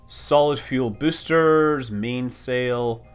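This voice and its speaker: A man's voice: medium pitch, very slow, clean tone, faint music behind. A man giving casual live stream commentary as he plays, rambling, thinking aloud and exclaiming over details. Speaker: streamer playing a space building game.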